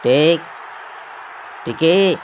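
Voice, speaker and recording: Casting: male actor for young man, cartoon hotel guest, easygoing yet jumpy, slightly higher register. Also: extremely slow, some chatter behind, slight background noise. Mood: annoyed, puzzled. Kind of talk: cartoon dialogue, casual slang.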